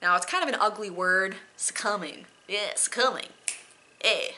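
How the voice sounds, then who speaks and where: A woman with a bright, forward voice at a middle pitch reads as an amateur performer. Her delivery is theatrical, yet it stays casual and self-deprecating, like someone sharing poems informally. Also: normal speed, a little hiss or hum. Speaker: amateur poetry reader. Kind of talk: dramatic poetry reading with casual asides.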